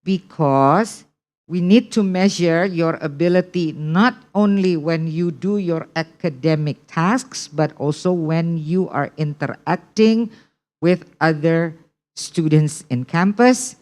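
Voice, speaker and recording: Slow, low-voiced Indonesian woman teacher. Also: a little mellow. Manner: repeats key points, checks in with "okay?". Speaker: English test prep teacher. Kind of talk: online class lecture.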